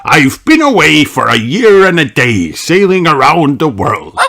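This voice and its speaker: Proud old sea dog captain from a storybook, reader doing the voice, loud and fond, slow. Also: mid-range voice, big pitch swings, gravelly, voice pushed up, full character voice. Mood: hearty. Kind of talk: storybook read-aloud.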